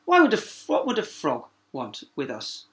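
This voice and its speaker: A man's voice: a little high, wildly swooping pitch, moderate pace, fairly bright tone. A man voicing a firm, proper royal parent, stern and inquiring, in a children's storybook reading. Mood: puzzled.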